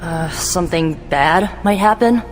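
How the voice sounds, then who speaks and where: An actress playing a flippant, bossy young sorceress in a video game cutscene, talking in a slangy, animated way. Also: female voice, lowish voice, a little slow, lightly acted. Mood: brisk, sarcastic. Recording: music behind voice.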